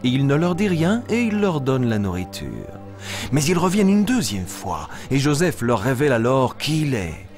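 Man as an eager, animated young lion storyteller. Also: mid-range voice, normal speed, soft background music, close to an everyday voice. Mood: storytelling. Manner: expressive, simple and clear telling. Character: eager young boy lion, cheerful storyteller of Bible tales. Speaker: storyteller of a children's show. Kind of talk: animated storytelling for small children.

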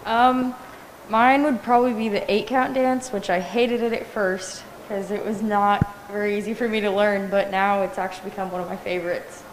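A teenage cheerleader answering casually and upbeat. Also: female voice, medium pitch, steady tone, normal speed, slight background noise. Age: teens. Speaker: cheerleader guest. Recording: echoey hall.

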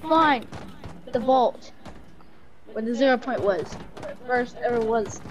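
A woman's voice: on the high side, unhurried, a crowd in the background. A woman improvising role-play in a game voice chat, speaking a little hesitantly. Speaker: player role-playing a secret agent.

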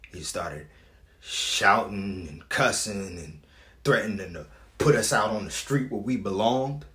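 A man's voice: on the low side, bright, forceful tone. A man acting a dramatic stage monologue as a proud, wounded grown son speaking to his father. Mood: pained, intense. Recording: echoey room.

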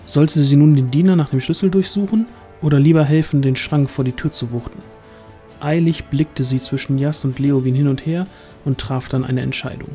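Mellow male fantasy narrator. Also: fairly high pitch, moderate pace, faint music behind. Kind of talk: scripted fantasy audio drama narration.

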